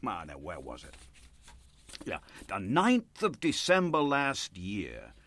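An actor playing a folksy, chatty older American churchgoer in a radio play, effusive and rambling. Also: male voice, fairly low pitch, wildly swooping pitch, slow pace, a bit bright, full character voice. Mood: awestruck, flattering. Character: folksy, gushing, chatty old American carpet salesman churchgoer.

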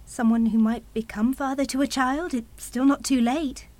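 A woman's voice: sits high, steady tone, normal speed, a little mellow, no room sound, natural voice. A woman acting in a radio play as a proud, defensive single mother, guarded and intense in protecting her son.

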